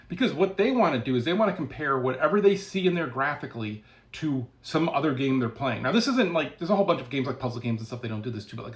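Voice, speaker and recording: A man speaking casually as a game developer, giving opinionated commentary in a blunt, sardonic, emphatic way. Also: medium pitch.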